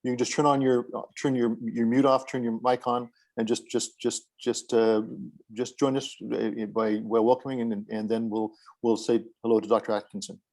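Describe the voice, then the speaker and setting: A man of middle pitch moderates an online round table, stumbling over repeated words as he hands the floor to each guest. He speaks in a polite, halting way. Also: flat delivery, a little mellow, low-quality recording. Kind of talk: moderating a video panel.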